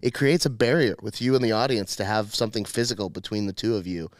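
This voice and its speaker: A man's voice: fairly low pitch, quick pace, no echo. A young man hosting a podcast as a comedian, chatting casually with a big, emphatic, profane voice.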